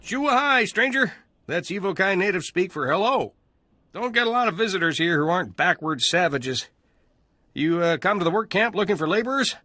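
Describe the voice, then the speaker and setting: A man's voice: sits high, animated, moderate pace, sharp tone, no echo. A man playing a breezy, cynical salvage dealer in a video game, with casual, wry, chatty delivery. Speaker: salvage trader character.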